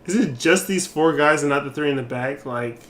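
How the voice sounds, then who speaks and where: A man's voice: a little high, normal speed, fairly bright tone. A man streaming a game with casual commentary, reacting aloud as he plays.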